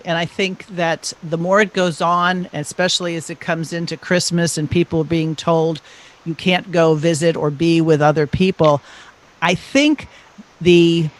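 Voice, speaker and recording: A man hosting a podcast, speaking in a measured, deliberate and articulate way about matters of faith. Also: on the high side, rough recording. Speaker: podcast host. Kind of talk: measured religious discussion.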